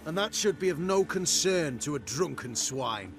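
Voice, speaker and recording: A man's voice: a little high, animated, normal speed, music in the background. A man playing a pious military commander in a video game, with a pompous, preachy, haughty delivery. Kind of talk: video game cutscene acting.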